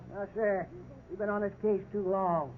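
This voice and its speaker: A veteran police officer from a 1950s radio crime drama, cocksure and sneeringly sarcastic. His voice is high for a man and he pushes everyone around. It comes through a thin, tinny old broadcast with clear noise. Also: fairly even intonation, measured pace. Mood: mocking. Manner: sarcastic, domineering.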